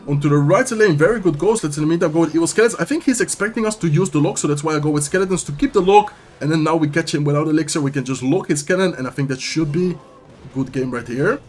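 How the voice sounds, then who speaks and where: Young male gaming commentator, upbeat and rapid, slightly high voice, getting hoarse toward the end. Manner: upbeat, talks through every move. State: losing his voice. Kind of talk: live gameplay commentary.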